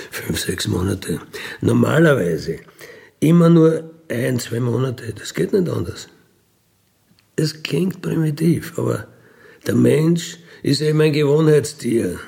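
Mature Austrian man, gravelly and unhurried, unscripted first-person recollection, plain-spoken and unapologetic. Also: mid-range voice, expressive, a little mellow. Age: middle-aged or older. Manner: matter-of-fact, colloquial, unapologetic. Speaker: ex-convict interviewee telling his life story. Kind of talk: candid conversational recollection.

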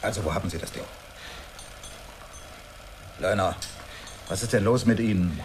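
A man's voice: sits low, moderate pace, close to an everyday voice. A man acting in a German radio play as an impatient, sarcastic boss who badgers in a brusque, blustering way. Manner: brusque, badgering, tacks "was?" onto sentences. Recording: noisy old radio production.

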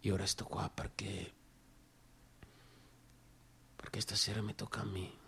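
A man's voice: on the low side, level tone, unhurried, gravelly, slight background noise, heavily acted. An actor playing a volatile, obsessive, defiant young man in a stage monologue, with a northern Italian accent and an agitated, repetitive delivery. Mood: brooding, defiant. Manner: agitated, repetitive, swinging from shouts to whispers. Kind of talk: theatrical monologue, stage acting.

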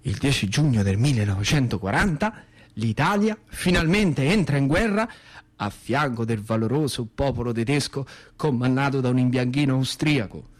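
A man's voice: medium pitch, lively intonation, normal speed. A man with a central Italian accent performing a dramatic radio theatre monologue as a storyteller in rustic dialect. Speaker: solo actor and storyteller.

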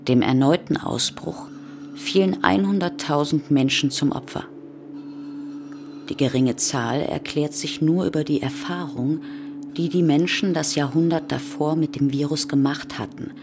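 An actress playing a grave, sober chronicler of a plague in a scripted radio drama. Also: female voice, low voice, unhurried, background noise. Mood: sober, matter-of-fact. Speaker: radio play narrator.

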